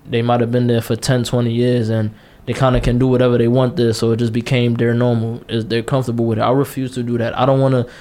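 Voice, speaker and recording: A man's voice: medium pitch, completely flat delivery, quick pace, few pauses, mellow. A man in his late twenties co-hosting a casual podcast, talking bluntly and passionately, repeating himself and cursing freely. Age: 20s. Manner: blunt, repetitive, passionate, curses a lot. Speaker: podcast co-host.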